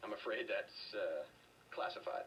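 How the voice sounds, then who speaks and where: An actor playing an anxious, evasive rebel agent in a video game, hesitant and urgent. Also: male voice, on the low side, lively intonation, moderate pace, fairly bright tone, recorded from a distance, muffled recording, a little hiss or hum.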